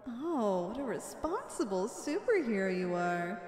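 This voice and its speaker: In a large, echoing space with long reverb, a woman plays a female supervillain speaks in a theatrical, playful way at a medium pitch. She is flirtatious and teasing, with righteous conviction underneath, in the manner of an ASMR roleplay. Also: very animated, unhurried, a little mellow. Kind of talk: ASMR roleplay, scripted acting. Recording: echoey hall-like reverb.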